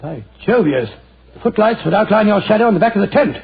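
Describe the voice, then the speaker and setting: An actor playing Doctor Watson, a bluff, easily startled companion, in a 1940s radio play. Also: male voice, sits high, animated, fast talker, gravelly, husky, heavily acted. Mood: flustered. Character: Doctor Watson, flustered loyal male companion. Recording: tinny 1940s radio broadcast.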